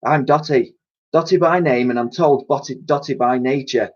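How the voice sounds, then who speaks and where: A man's voice: medium pitch, moderate pace, pitched above the performer's own voice, natural voice. A man voicing a dotty, kindly cleaning lady with a chatty, colloquial manner in a children's storybook. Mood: friendly. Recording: dull lo-fi recording.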